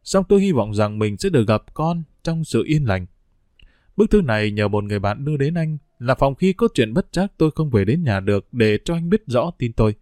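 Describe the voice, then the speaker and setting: A man's voice: medium pitch, very animated, fairly quick, a little mellow, no room sound, lightly acted. A tired middle-aged prisoner's letter, read aloud by a male narrator, delivered in a melancholy, reflective tone. The recording is dry and close-miked.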